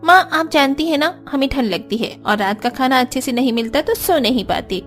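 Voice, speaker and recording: High-pitched, demanding girlish sister-in-law. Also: animated, normal speed, soft background music. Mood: whiny. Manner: coaxing, exclamatory. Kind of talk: Hindi cartoon story dialogue.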